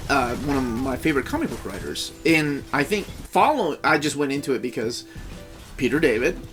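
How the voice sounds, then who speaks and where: A man co-hosting a video game podcast, quick with sarcasm and teasing banter. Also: mid-range voice, animated, a bit bright, faint music behind. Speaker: podcast co-host. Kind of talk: casual chat about video games.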